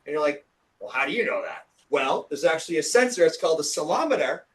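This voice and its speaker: A young man walks an audience through forecasting with an energetic, explanatory manner. His voice is pitched high and sounds a little hoarse. He talks casually, with everyday fillers. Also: fast. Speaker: TV meteorologist giving a talk. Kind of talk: presentation lecture.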